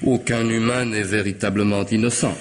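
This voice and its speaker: An actor playing a coolly polite, intelligent hired killer in a radio mystery drama, speaking deliberately and sardonically, with commanding theatrical pauses. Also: male voice, fairly low pitch, steady tone, natural voice. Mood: menacing, ironic. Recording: noisy old radio drama recording.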